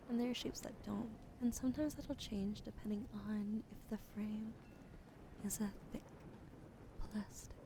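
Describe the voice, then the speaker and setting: A young woman whispering softly and slowly to viewers on an ASMR stream, close to a sensitive microphone at her desk. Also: on the high side, noisy background. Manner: soft unvoiced whisper, slow and soothing.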